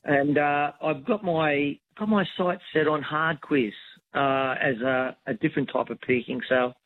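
An older man from New South Wales with an Australian accent, calling in to a radio show, good-humoured and hesitant, with many pauses. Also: on the high side, phone-quality sound. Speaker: caller. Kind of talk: phone-in radio chat.

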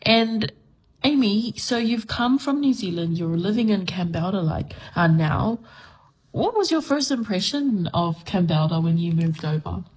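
A woman's voice: mid-range voice, animated, a little slow, darkish tone, breathy edge, poor audio. A woman radio host presenting a breakfast show and interviewing a guest in a friendly, conversational way.

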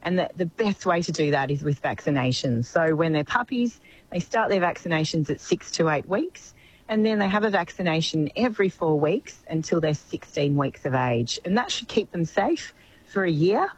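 An Australian woman with a slightly croaky voice, giving expert veterinary advice as a guest in a radio interview. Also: sits low, animated, normal speed, lo-fi sound.

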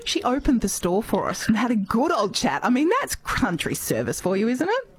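A woman's voice: a little high, very animated, moderate pace, breathy edge. An Australian woman hosting a radio show, chatting casually and upbeat with a guest. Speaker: radio host.

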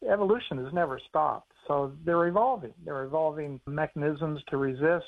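A man's voice: on the high side, moderate pace, vocal fry. American man on a tinny phone line. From United States. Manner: easygoing, explanatory, chuckles now and then. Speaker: author and conservation biologist on the phone. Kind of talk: phone interview on radio.

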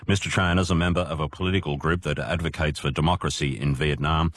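Very deep-voiced Australian newsreader. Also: male voice, steady tone, a bit bright, no echo, clean studio sound. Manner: measured, formal. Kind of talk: news reading.

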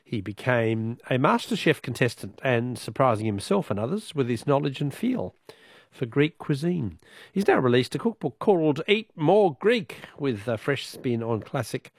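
A man's voice: medium pitch, very animated, normal speed, no room sound, clean studio sound. A man hosting a chatty evening radio interview in a jovial, teasing manner. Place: radio studio.

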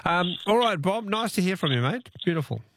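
A man's voice: sits high, very animated, fairly quick, fairly bright tone, dry recording. A man hosting a talkback radio show, chatting easily with callers and stumbling into restarts. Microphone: studio mic.

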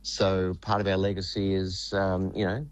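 A middle-aged man, a band's lead singer, talking in a relaxed, rambling way with lots of fillers. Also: low voice, normal speed, no room sound. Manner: relaxed, rambling, many fillers, says "mate". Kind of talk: phone or studio interview.

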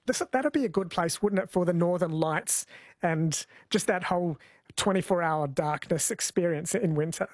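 A man hosts a radio interview in a fairly high voice. He speaks conversationally, putting questions and reading out texts from listeners. The sound is dry, with very little room in it. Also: normal speed, no echo.

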